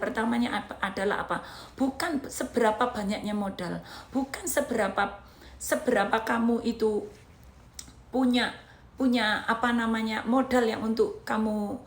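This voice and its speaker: A woman's voice: a little high, creaky, roomy sound. A woman in her thirties from Indonesia with a Javanese accent, giving an emphatic motivational talk and repeating her points in lists. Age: 30s. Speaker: motivational vlogger.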